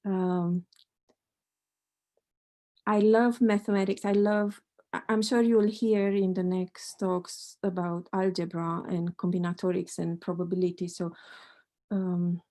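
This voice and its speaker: A woman's voice: on the low side, little pitch movement, slow, long pauses, warm tone. A middle-aged woman from Romania, a mathematics professor, answering questions in a thoughtful, hesitant way with many restarts. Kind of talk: panel talk answering questions.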